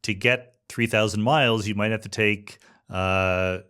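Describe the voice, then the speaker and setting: Low-voiced man hosting a podcast. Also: steady tone, unhurried, sharp tone, clean tone. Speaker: podcast host. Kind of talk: conversational interview, explaining ideas.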